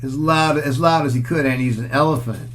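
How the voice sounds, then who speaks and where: Man reading a storybook, noisy background. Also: medium pitch. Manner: chatty, pauses to comment on pictures and question listeners. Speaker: picture book reader. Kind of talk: read-aloud storytelling for children with casual asides.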